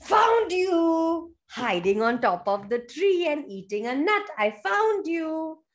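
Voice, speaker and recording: A woman's voice: high-pitched, very animated, slow pace, pitched above the performer's own voice, heavily acted. Woman acting a sing-song, boastful storybook bear. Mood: triumphant. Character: playful boastful male bear playing hide-and-seek.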